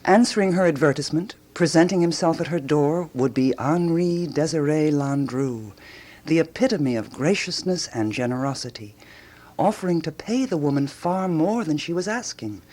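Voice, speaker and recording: A woman's voice: low voice, dark tone. A woman playing a self-assured amateur detective of 1920s Paris in a radio drama, emphatic and theatrical.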